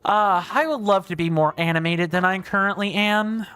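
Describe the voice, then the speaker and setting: Chatty male streamer with booming voice. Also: normal speed, bright, forceful tone, clean tone, faint music behind. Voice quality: big booming voice. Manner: chatty, thinks aloud, reads viewer comments. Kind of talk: casual gameplay livestream chat.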